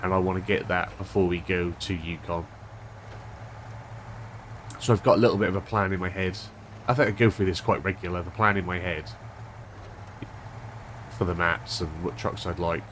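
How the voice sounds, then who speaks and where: A man hosting a gaming channel, chatting casually over gameplay with a stuffed-up, unwell voice, thinking aloud with a monotone delivery. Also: low-pitched, moderate pace, pauses often, a little hiss or hum. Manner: rambling, thinking aloud with restarts.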